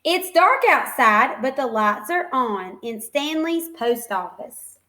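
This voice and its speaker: A woman teacher reading a picture book aloud to young children, expressive and encouraging, pausing to explain things simply and ask questions. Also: fairly high pitch.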